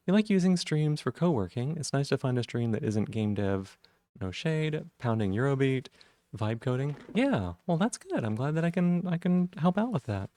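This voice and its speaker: A man streaming live, chatting casually with viewers and greeting them by name, with a rough voice today. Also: dark tone, no echo, clean studio sound. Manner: friendly, greets chat members by name, jumps up in pitch on greetings.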